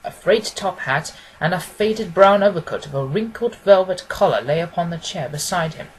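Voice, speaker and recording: A man's voice: high voice, expressive, moderate pace, a little hiss or hum, poor audio. A man reading classic fiction aloud as scripted literary narration. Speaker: audiobook reader.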